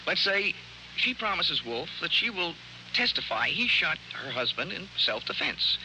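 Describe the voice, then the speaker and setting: Hardboiled american private eye, sarcastic, voice jumps around, old hissy radio show. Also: male voice, on the high side, very animated, measured pace, pushed and bright, full character voice. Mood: reasoning, urgent. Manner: sardonic, punchy, slangy delivery. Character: wisecracking, hard-boiled male private investigator. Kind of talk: 1940s American radio detective drama acting. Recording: noisy, hissy 1940s radio recording.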